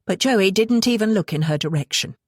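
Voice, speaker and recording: A woman reading an audiobook as dramatic fiction narration, with expressive, measured storytelling. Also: medium pitch, no room sound, clean studio sound.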